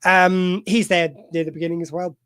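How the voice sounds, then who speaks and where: A man with a fairly high voice hosts a loose film chat, drifting and restarting his sentences as he goes. The sound is dry and close, with no room around it. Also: little pitch movement, a bit bright, clean tone, no room sound. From United Kingdom. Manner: rambling, halting, many fillers and restarts. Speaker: podcast host. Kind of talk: casual film discussion. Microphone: video call mic.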